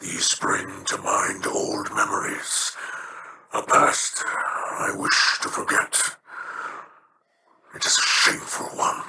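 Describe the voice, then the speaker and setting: An actor playing a grave old alien chieftain and father in a video game, with a gravelly voice. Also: low voice, completely flat delivery, takes it slowly, pushed and bright, slight background noise, heavily acted, low-quality recording. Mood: bitter hushed.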